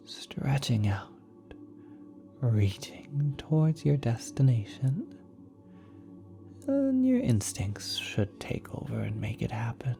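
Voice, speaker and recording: A woman voicing a kind, nurturing celestial being in a soft, breathy, often whispered ASMR roleplay, gently reassuring the listener. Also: very deep voice, exaggerated voice. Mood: gentle, instructive. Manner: gentle, reassuring, hesitant, coaxing. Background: spacey ambient music.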